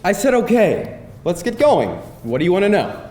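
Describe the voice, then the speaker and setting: In a roomy, echoing space, a man acts out a cocky, impatient boyfriend around thirty. His voice is high and swings about in pitch, wheedling and playful as if in a stage reading. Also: very animated, moderate pace, a little mellow. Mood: persuasive. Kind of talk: live screenplay table read. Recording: roomy live hall, faint rumbling noise.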